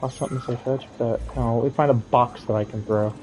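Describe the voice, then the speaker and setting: A man's voice: mid-range voice, steady tone, quick pace, darkish tone, faint music behind, rough recording. Joking, profane male gaming streamer. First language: not Russian. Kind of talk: casual let's play commentary.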